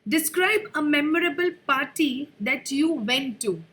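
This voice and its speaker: A woman with a high voice conducts a speaking test. She reads out questions in a brisk, formal way. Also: expressive, fairly bright tone.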